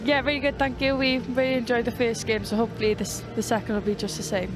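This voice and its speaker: A woman's voice: fairly high pitch, flat delivery, fast, over music, low-quality recording. A woman working as co-commentator on live rugby, giving friendly, brief answers. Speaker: match summariser.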